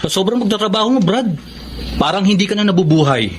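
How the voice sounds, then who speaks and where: A man's voice: sits high, expressive, fast talker, slight background noise. A man playing a friendly, teasing young office colleague in a Filipino radio drama. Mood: concerned, teasing.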